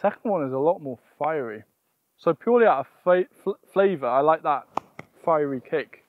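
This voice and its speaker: A man's voice: fairly high pitch, animated, moderate pace, no echo, phone-quality sound. A young man presenting a casual gardening vlog outdoors, explaining things and often thinking aloud. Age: young adult.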